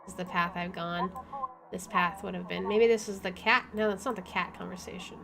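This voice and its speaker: A woman's voice: fairly low pitch, fairly even intonation, fairly quick, gravelly, noisy background. A woman doing playthrough commentary and reading game text aloud, with a playful, wisecracking manner. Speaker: video game let's player.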